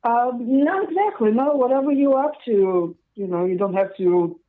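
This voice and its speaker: A woman's voice: on the high side, expressive, moderate pace. A woman calling in to a podcast, polite and a little hesitant. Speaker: caller. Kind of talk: phone call-in. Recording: tinny phone line.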